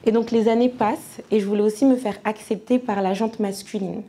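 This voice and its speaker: A woman's voice: medium pitch, steady tone, a little fast, warm tone. A woman in her thirties, from France, giving a personal church testimony in a conversational way with frequent hesitations. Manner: conversational, many fillers and restarts.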